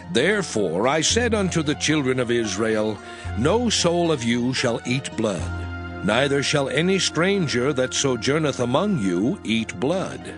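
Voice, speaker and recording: A man narrating scripture in a solemn, expressive scripted reading. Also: medium pitch. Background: music.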